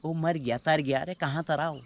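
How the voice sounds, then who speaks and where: A man's voice: on the high side, fairly even intonation, normal speed, slight background noise. A man in his forties from the hills of Uttarakhand, a native Kumaoni speaker with a Kumaoni accent, chatting casually in an earnest, rambling, philosophical way. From Uttarakhand hills, India. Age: 40s. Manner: rambling, earnest, philosophical, switches into hill dialect. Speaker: local villager being interviewed. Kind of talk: casual conversation. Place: outdoors in a hill village.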